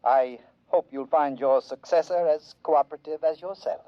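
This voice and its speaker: Man acting a genteel, higher-voiced overlord. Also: normal speed, slight background noise, natural voice. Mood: courteous, resigned. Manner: polite, hesitant, genteel. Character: mild, courteous, dignified male overlord, resigned ruler. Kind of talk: 1950s radio drama acting. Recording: dull dry vintage radio recording.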